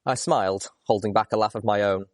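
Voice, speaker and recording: A man narrates a first-person story in a fairly low voice. His reading is expressive, in audiobook fashion, and the recording is dry with little room sound. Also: a little fast, no echo. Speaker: audiobook reader.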